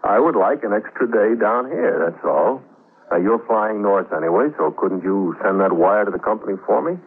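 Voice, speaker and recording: An actor playing a weary, calculating husband in an old-time radio drama. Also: male voice, lowish voice, normal speed, husky. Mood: smoothly persuasive. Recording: muffled, narrow-band vintage radio recording.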